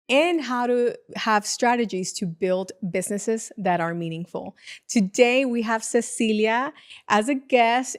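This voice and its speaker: A woman hosting a podcast, upbeat and chatty, often reacting with enthusiastic interjections. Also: on the high side. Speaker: podcast host. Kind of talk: casual interview.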